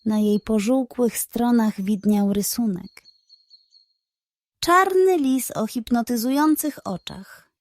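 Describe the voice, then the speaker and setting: A woman's voice: on the high side, slow, no echo, clean studio sound. A woman narrating a scripted children's bedtime story, telling it carefully and expressively. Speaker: narrator of children's audio stories.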